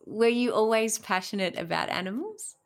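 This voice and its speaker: A woman's voice: mid-range voice, moderate pace. A woman with an Australian accent, chatting as the host of an interview podcast.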